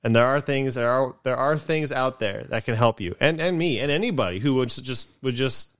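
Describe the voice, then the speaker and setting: A young man co-hosting a casual comedy podcast in a dry, close-miked sound. He rambles through his jokes with a deadpan edge, trips over his own words and restarts, keeping an easygoing middle pitch. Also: fairly quick, dry recording. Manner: rambling, self-interrupting, dry joking. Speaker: podcast co-host. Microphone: desk mic with cameras.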